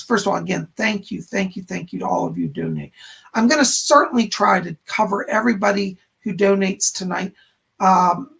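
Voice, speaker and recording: A man hosting a live stream as a psychic medium, hoarse and raspy and tired, gushing over viewers in casual chat from his home studio. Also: high-pitched, a bit bright. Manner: effusive, gushing greetings with frequent squeals of delight.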